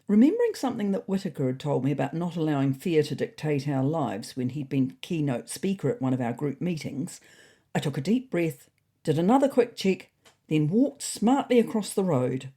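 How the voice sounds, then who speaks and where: Woman as fussy, mellow-voiced cat diarist. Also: sits low, big pitch swings, moderate pace, breathy edge, heavily acted. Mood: anxious. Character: Weasley, fussy anxious male cat diarist. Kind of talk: comic first-person diary narration.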